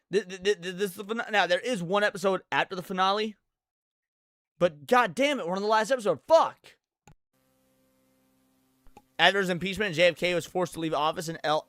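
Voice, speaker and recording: Bright, high-voiced man swearing freely. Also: dry recording, studio quality. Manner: crude, profane, opinionated; animated, thinks out loud. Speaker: host of a reaction stream. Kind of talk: casual reaction commentary, reading on-screen text aloud.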